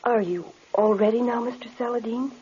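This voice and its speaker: An actress playing a trusting, obedient young medium with a trance-like manner in old radio horror. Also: female voice, on the high side, dark tone, natural voice. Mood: dazed. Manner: slow, repetitive, trance-like. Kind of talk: 1930s-40s radio horror acting. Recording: very noisy, muffled vintage radio recording.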